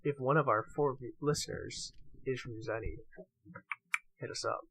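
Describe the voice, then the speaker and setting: A male podcast co-host with a medium-pitched voice. He chats casually and jokes around, slipping into mock-serious banter and swearing freely as he goes. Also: fairly even intonation, moderate pace, a bit bright, poor audio. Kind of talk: casual joking podcast chat.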